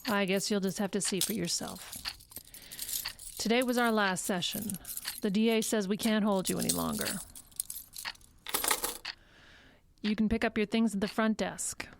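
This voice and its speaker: An actress playing a measured, firm and slightly condescending psychologist in an audio drama. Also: female voice, medium pitch, fairly even intonation, gravelly, background noise, natural voice. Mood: cool, dismissive.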